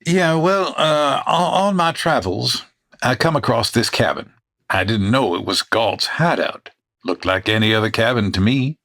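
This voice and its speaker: A man voices a bashful traveling salesman from the old West who keeps chuckling at himself as he rambles through his story. His pitch rises and falls a lot, and his talk has a folksy frontier drawl. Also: expressive, measured pace, a bit bright. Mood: sheepish. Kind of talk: comedy audio drama acting.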